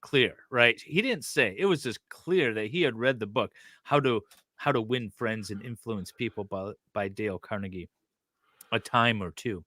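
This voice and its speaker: A man's voice: fairly low pitch, normal speed, bright, forceful tone, no room sound, studio quality. A middle-aged man casually reading aloud and breaking off for wry, teasing asides as a co-host. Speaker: co-host reading and reacting to a document.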